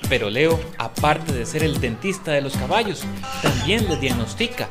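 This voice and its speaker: A man delivering scripted news narration in a polished, measured way. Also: a little high, expressive, loud music behind the voice. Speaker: TV reporter.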